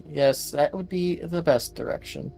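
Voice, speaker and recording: A man's voice: a little high, steady tone, measured pace, creaky, warm tone. A man playing a tabletop roleplaying game, speaking casually in character with the group. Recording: music behind the voice. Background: music.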